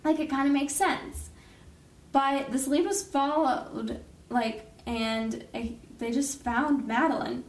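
A woman's voice: high-pitched, slow, recorded from a distance. A young woman co-hosting a podcast, chatting casually and saying "like" a lot. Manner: casual, filler-heavy, says "like" a lot. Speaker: podcast co-host. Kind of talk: casual chat. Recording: echoey room, away from the mic.

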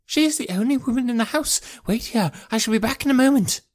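A man voicing a timid, fretful gentleman in an audiobook, with a plaintive, fussy and formally polite way of talking. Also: very high voice, fast talker, pitched above the performer's own voice. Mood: anxious. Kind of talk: acted audiobook character dialogue.

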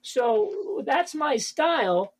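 High-voiced man rambling about comedy. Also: big pitch swings, drawn-out words. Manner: rambling, many fillers and restarts. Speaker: stand-up comedian guest. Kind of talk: casual podcast interview.

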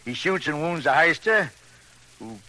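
A man's voice: fairly high pitch, animated, measured pace, bright, forceful tone, full character voice. An actor playing a boastful, over-familiar small-city policeman who mocks and prods people, in vintage radio crime drama style. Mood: mocking. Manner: mocking, over-familiar, prodding. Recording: faintly noisy old radio recording.

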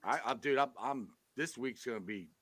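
A man's voice: mid-range voice, normal speed, fairly bright tone, no room sound, clean studio sound. A man hosting a casual podcast stream, chatty and laughing often. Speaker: podcast host. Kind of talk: casual chat on a live stream.

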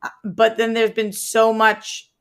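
A young adult woman co-hosting a casual pop-culture podcast, chatty and halting, often breaking off mid-sentence. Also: a little high, completely flat delivery, a bit bright, smooth. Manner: chatty, halting, many fillers and restarts. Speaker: podcast co-host.

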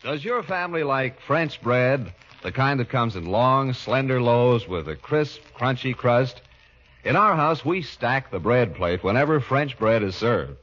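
A man's voice: medium pitch, expressive, measured pace, fairly bright tone. A man announcing and selling in polished 1940s radio style. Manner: polished, salesmanlike. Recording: dull vintage radio recording.

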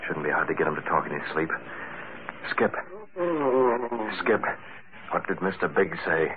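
An actor playing a calm, stealthy vigilante in a 1940s radio crime serial, speaking in a hushed, controlling way. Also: male voice, a bass voice, normal speed. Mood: hushed, intent. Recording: noisy, narrow old radio recording.

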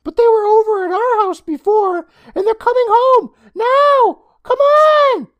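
A reader voicing a jumpy, nervous young boy who blurts out squeaky, loud, rushed lines. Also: animated, muffled recording, exaggerated voice. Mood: urgent.

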